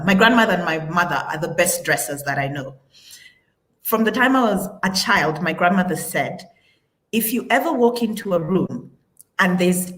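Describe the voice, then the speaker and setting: A woman's voice: fairly low pitch, a bit bright. A woman with a Ugandan accent talking emphatically as a panel guest, repeating phrases for weight. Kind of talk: conversational panel talk.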